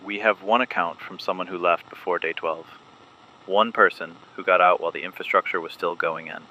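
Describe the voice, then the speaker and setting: A man playing a grave resistance informant in a scripted dystopian radio broadcast, speaking in clipped, deliberate, ominous phrases. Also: sits low, steady tone, bright, forceful tone, dull audio, a little hiss or hum. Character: grave male resistance informant explaining a sinister secret process.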